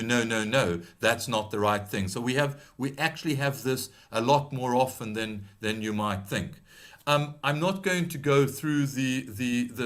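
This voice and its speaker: A middle-aged British man with a slight South African tinge to his accent, presenting a webinar in a measured, advisory way with frequent ums and restarts. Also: mid-range voice, a bit bright. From Britain. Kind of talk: explanatory webinar talk.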